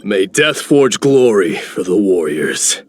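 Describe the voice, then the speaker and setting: Deep, slow, solemn warrior. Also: male voice, sing-song, no echo, heavily acted. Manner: grave, formal. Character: stern, proud male warrior, solemn.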